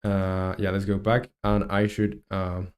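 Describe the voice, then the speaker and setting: Chilean guy in his 20s streaming game dev, kinda low voice, lots of uh and um. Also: completely flat delivery, measured pace, a little mellow. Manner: rambling, many fillers and restarts, reads chat aloud. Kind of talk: casual livestream chat while working.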